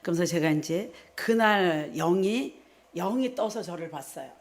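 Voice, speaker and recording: A middle-aged woman from South Korea, a preacher giving a personal testimony at a revival meeting in an animated storytelling manner. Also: on the low side, normal speed. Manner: animated storytelling, re-enacts her own prayers in direct speech.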